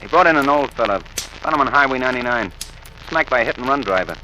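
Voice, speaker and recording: An actor playing a chatty, sympathetic morgue attendant in a folksy, casual 1940s radio acting style. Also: male voice, medium pitch, lively intonation, fast, pushed and bright. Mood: casual sympathy. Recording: noisy old radio recording.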